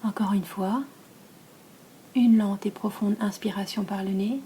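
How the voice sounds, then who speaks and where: A woman with a French accent from France leads a guided relaxation as a yoga nidra teacher, speaking softly, breathily and calmly, with long pauses between phrases. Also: mid-range voice, a little hiss or hum. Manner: soft, breathy, calm and soothing delivery.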